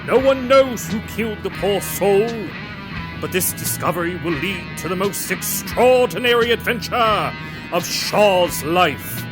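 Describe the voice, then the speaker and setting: A man playing an ominous horror radio host, narrating in a theatrical, drawn-out, macabre old-time radio style. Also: high-pitched, animated, over music, low-quality recording. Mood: ominous, suspenseful. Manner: dramatic, drawn-out, macabre delivery.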